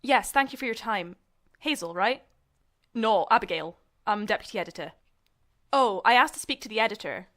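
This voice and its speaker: A woman's voice: mid-range voice, expressive, normal speed, fairly bright tone, close to an everyday voice. A young woman acting as a university student in a comedic audio drama. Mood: polite, businesslike. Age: young adult. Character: young female student journalists and theatre director sparring.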